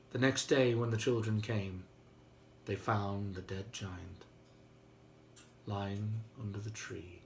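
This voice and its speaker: A man's voice: on the low side, a little hiss or hum. A man retelling a fairy tale aloud in a theatrical, droll, conversational storytelling style. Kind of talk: animated oral retelling of a fairy tale with playful asides.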